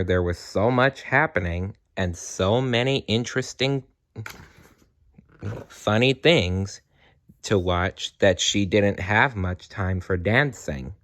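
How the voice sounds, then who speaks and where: A man narrating a children's story read aloud. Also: on the low side. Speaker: storybook reader.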